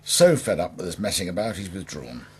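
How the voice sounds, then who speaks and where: Husky, low-voiced grumbling English gentleman. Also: very animated, fairly quick, full character voice. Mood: bitter. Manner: wry, bitter. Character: grumbling, self-pitying older English gentleman landowner. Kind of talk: radio soap acting.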